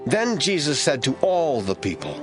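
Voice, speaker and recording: Guy narrating a bible audio drama, expressive storyteller, music in the background. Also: a little high, normal speed.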